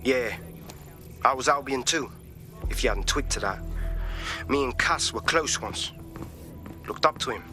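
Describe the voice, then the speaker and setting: Game role: cocky young London male hacker actor, slangy, mid-pitched, clear music behind. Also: a little slow, long pauses, natural voice. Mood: candid, bitter. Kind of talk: video game dialogue.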